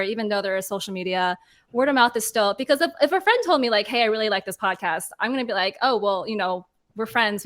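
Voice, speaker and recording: Brief: female moderator, mid-pitched, informal and chatty, casual panel talk. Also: a bit bright. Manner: informal, chatty, acts out imagined remarks. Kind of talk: casual chat.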